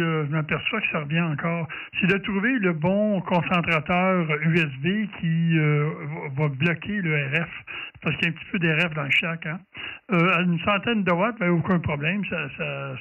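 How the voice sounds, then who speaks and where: A man with a Quebec French accent chatting casually as a ham radio operator, halting as he goes and often clearing his throat. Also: fairly high pitch. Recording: narrow tinny sound, distant from mic.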